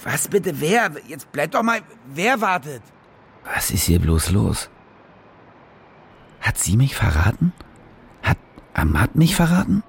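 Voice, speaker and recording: An actor playing a panicky, cynical war reporter in a radio drama, muttering to himself and swearing in agitation. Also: male voice, on the high side, very animated, slow pace, gravelly, pauses often, slight background noise, heavily acted. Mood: baffled. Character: panicky, cynical male war reporter, rattled and foul-mouthed. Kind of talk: radio drama acting with inner monologue.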